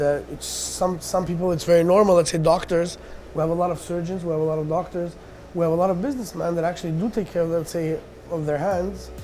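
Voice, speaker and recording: A man's voice: fairly high pitch, poor audio. A man in his thirties, born in Russia and raised in Canada, answering interview questions in a casual, hesitant way with many fillers and restarts. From Armenian, born in Russia, raised in Canada. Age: 30s. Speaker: business owner.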